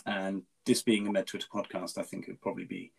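A man's voice: low voice, little pitch movement, quick pace, vocal fry, slightly rough. A man whose first language is Farsi, hosting a casual podcast interview, talking with frequent ums and restarts. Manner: hesitant, many ums and restarts. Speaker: podcast host.

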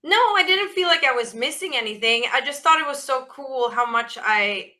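Woman, raspy voice, bright forceful tone, slightly high pitch, casual interview guest. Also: expressive, moderate pace. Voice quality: naturally raspy voice. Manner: chatty, laughing, many restarts and fillers. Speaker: rock band vocalist, guest.

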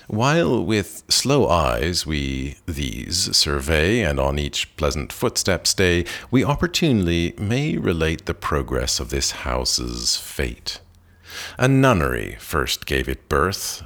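A man reading a long seventeenth-century poem aloud in a slow, deliberate way, now and then correcting a slipped word. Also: very deep voice, fairly bright tone. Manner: deliberate, with occasional self-corrections. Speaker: poetry reader. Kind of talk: slow measured poetry reading.

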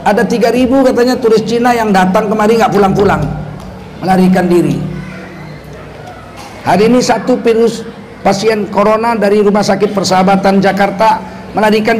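Ustadz guy, high voice, sarcastic rant-y lecture, bit of faint background hiss. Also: a little fast, darkish tone. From North Sumatra, Indonesia. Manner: sarcastic, rhetorical questions to the audience. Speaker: Islamic preacher. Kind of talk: religious lecture with political commentary.

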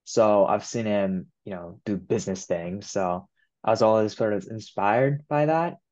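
A young man in his twenties from Japan, whose first language is Japanese, answering interview questions in a relaxed way with many "you know"s and "um"s. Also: lowish voice, a little slow, dull audio. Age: 20s. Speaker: guest. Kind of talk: casual interview.

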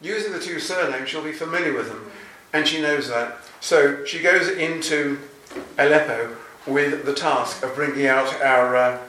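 A man's voice: fairly high pitch, moderate pace, bright, forceful tone, distant mic, a little hiss or hum. An older man, a novelist, answering interview questions in a chatty, anecdotal and self-deprecating way. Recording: roomy, from off-mic.

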